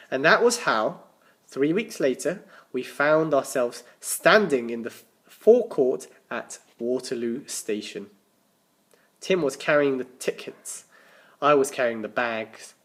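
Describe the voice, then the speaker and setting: A man reading a children's story aloud as a teacher, with animated, dramatic emphasis and the odd stumble over words. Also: medium pitch, measured pace. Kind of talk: expressive children's storybook narration.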